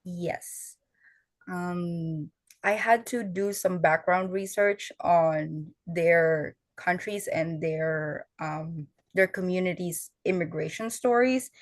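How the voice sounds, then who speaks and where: A woman's voice: on the low side, slow, no room sound. A young woman whose first language is Tagalog, earnestly explaining her oral history work in a conversational interview, with some fillers. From Filipino family.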